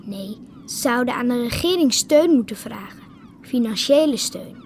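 A woman voicing an opinionated young girl who argues her point plainly. The voice is high, with a faint hiss in the background. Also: lively intonation, normal speed, a little mellow. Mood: firm. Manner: firm, outspoken. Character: young island girl Tijne, outspoken, opinionated. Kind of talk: radio drama acting.

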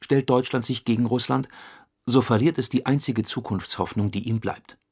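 An actor playing a stern military voice in a radio play, formal and declamatory. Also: male voice, mid-range voice, moderate pace, a little mellow, breathy edge. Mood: solemn, declamatory. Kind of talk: radio play documentary reading.

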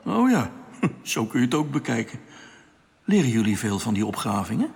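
A bewildered everyman space traveller in a radio play. He talks informally and haltingly in a mid-pitched voice, uneasy and questioning, with long reverb around him. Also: sing-song, creaky, darkish tone. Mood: curious, doubtful. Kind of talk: radio drama acting.

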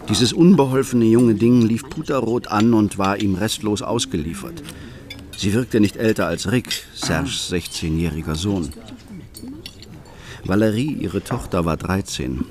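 A man's voice: fairly low pitch, unhurried, crowd noise, close to an everyday voice. An actor playing a bitter, scornful middle-aged man who narrates his thoughts in a radio play. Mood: disdain. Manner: sardonic, contemptuous.

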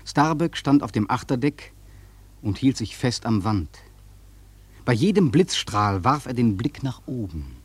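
A grave sailor narrates a radio drama in a very husky voice. His delivery is solemn, measured and literary, in a very dry, close sound. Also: mid-range voice, sing-song, no echo. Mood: grave, ominous. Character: male sailor recounting a terrible storm, grave, awed.

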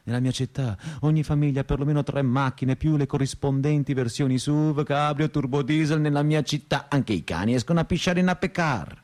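A man's voice: on the high side, a little fast, hardly any pauses, darkish tone, lightly acted. An actor playing a frustrated, self-mocking perpetual student in his thirties in a theatrical, rhetorical radio monologue. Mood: sarcastic complaint. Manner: rhetorical, repetitive, shifting between lofty lyricism and crude bluntness.

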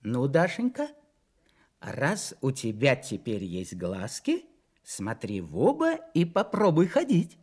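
A man's voice: mid-range voice, wildly swooping pitch, slow, darkish tone. A man reading a children's story expressively, in a playful, theatrical manner with conversational asides to the listeners.

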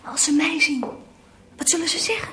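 An actress playing an eager, awed young female journalist with an animated, inquisitive manner in a radio drama. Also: high voice, normal speed, heavy background noise, close to an everyday voice. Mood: amazed.

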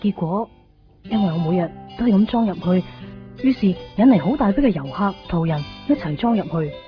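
A woman's voice: mid-range voice, expressive, fairly quick, natural voice. An actress with a Hong Kong accent playing a wistful, devoted young woman searching for someone in a radio drama. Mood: wistful, determined. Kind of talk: radio drama first-person narration and dialogue. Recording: dull 1990s radio recording, faint music.

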